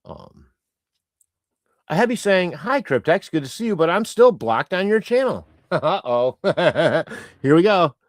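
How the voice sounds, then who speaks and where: A man's voice: sits high, sing-song, unhurried, no room sound, studio quality. A man whose native language is Croatian, hosting a casual livestream and reading out chat in a rambling, chatty way with many fillers and restarts. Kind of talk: casual live-stream chat.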